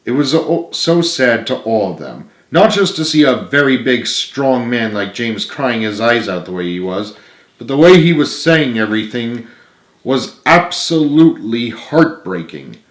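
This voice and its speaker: A man narrates a dramatic story from his own book. His middle-pitched voice is earnest and emphatic, and the room echoes noticeably around him. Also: sing-song, a little slow. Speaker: author reading own book aloud. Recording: roomy, echoing room sound.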